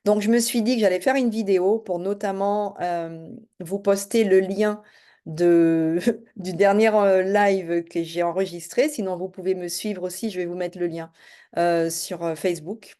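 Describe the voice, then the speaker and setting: A middle-aged woman with a low, mellow voice introduces herself to her viewers. She talks quickly and casually, stumbling over many hesitations as she goes. She is from French Guiana and works as a coach. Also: steady tone. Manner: fast-talking, hesitant with many fillers. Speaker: spiritual and business coach. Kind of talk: casual self-introduction to camera.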